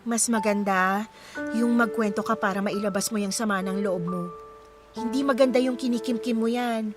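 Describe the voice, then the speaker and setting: An actress playing a caring, supportive young friend in a Filipino radio drama, expressive and sympathetic, with a Filipino accent. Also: female voice, mid-range voice, moderate pace, lightly acted. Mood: comforting. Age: young adult. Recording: music playing behind the voice.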